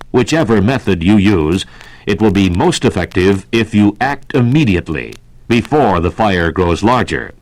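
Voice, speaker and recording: An American man reading a scripted 1960s civil defense radio announcement in a measured, formal, instructional manner. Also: fairly low pitch, no echo. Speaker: public information announcer.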